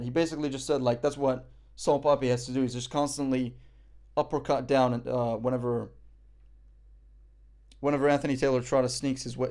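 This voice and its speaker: A man's voice: mid-range voice, darkish tone. A young man from Morocco, casually commenting on a boxing event on a live stream, rambling and joking with frequent swearing and fillers.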